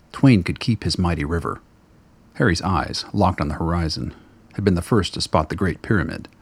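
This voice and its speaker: A man narrating a scripted radio play as a measured, literary storyteller. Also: low voice, breathy edge.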